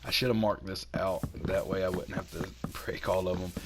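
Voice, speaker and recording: A man with a cold, hoarse and a little raspy, chatting casually while he builds in a video game and talks himself through each step. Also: low-pitched, fairly even intonation, fairly quick, background noise. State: hoarse from sinus infection. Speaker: gaming channel host.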